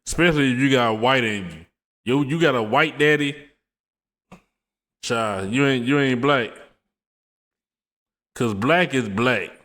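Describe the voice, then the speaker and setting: Man, co-host, blunt, teasing, slangy, mid pitch, long reverb. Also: a little slow, pauses often, fairly bright tone. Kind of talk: casual chat.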